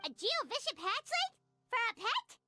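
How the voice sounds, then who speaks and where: A woman's voice: very high-pitched, very animated, normal speed, loud music behind the voice. A woman voicing a tiny, food-loving female fairy companion who talks about herself in the third person. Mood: eager. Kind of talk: game cutscene voice acting.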